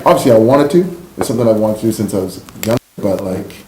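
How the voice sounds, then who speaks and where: A man's voice: on the low side, fast talker. A man with a Canadian accent telling personal stories casually and candidly as a podcast guest, in an informal conversational way. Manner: informal and candid, frequent "like" and "you know". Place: indoor room, in-person podcast recording. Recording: roomy echo, faint background noise.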